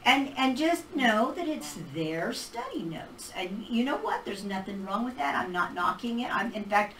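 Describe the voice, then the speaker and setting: A middle-aged woman teaching a Bible class in a casual, animated way, laughing often and holding a mic. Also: fairly high pitch, moderate pace, slight background noise. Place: small church hall with projector screen. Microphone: handheld mic. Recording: roomy hall recorded from far off.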